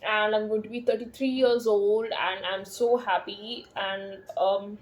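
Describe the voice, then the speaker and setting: A woman in her thirties chatting casually to camera at home, slow and rambling, with many fillers and soft laughs. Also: medium pitch, fairly even intonation, low-quality recording. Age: 30s. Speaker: vlogger.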